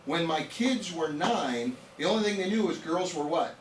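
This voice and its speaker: A man's voice: fairly high pitch, animated, normal speed, background noise. A man of about fifty giving an informal nutrition training to a live audience, chatty and joking, telling stories and throwing out rhetorical questions. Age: around 50. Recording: echoey room, voice picked up from a distance.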